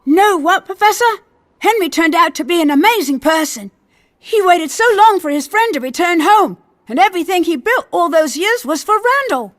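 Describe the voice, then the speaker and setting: Very high-voiced curious youngster. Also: female voice, lively intonation, moderate pace. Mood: curious, admiring. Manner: earnest, curious. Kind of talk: animated game cutscene acting.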